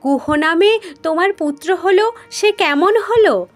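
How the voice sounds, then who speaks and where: Authoritative creator god read by a woman, high voice, measured explaining tone, music underneath. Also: lively intonation. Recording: music behind the voice.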